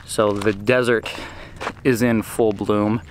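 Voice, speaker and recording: A man's voice: lowish voice, slow, slight background noise. Chatty man vlogging on a desert trail. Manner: relaxed, chatty, explains details to camera. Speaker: vlog host. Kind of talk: casual travel vlog narration. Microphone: camera held at arm's length.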